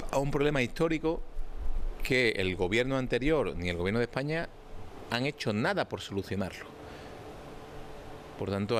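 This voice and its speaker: A man's voice: medium pitch, slight background noise. A male politician giving an assertive, reassuring statement to the press.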